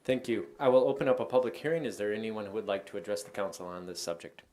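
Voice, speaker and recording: An American man speaking as a mayor, running formal council procedure in a brisk, businesslike way. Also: lowish voice, a little mellow. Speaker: mayor chairing a city council meeting.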